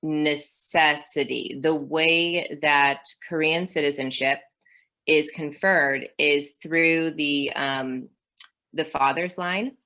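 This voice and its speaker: A woman historian in her thirties speaks as an interview guest in a low voice. She thinks aloud as she goes, with many ums and small restarts. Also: fairly even intonation, slow, pushed and bright. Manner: thoughtful, with many fillers. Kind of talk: academic interview.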